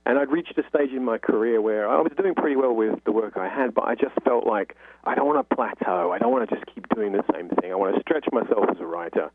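A man's voice: lowish voice, fast, breathy edge, dry recording. A man speaking as an interview guest, explaining at length in a talkative, conversational way. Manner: talkative, explanatory, frequent "you know". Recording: thin phone-like line.

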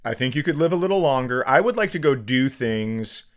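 A man's voice: medium pitch, lively intonation, a little fast. A man co-hosting a comedy podcast, musing through hypotheticals in casual, self-deprecating chat with some easy swearing.

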